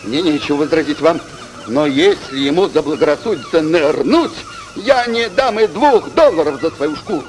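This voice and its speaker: An actor in a radio play voicing a bold, hot-tempered harpooner with a blunt, forceful manner. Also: male voice, a little high, animated, normal speed, close to an everyday voice, rough recording. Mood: urgent, excited.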